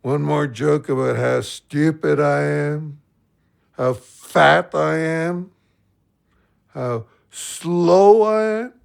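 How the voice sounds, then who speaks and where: A man playing a heartbroken, slow-witted-sounding but thoughtful drunk in an audio drama, rambling in a slurred voice. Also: a little high, vocal fry, clean tone, full character voice. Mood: wounded, grumbling.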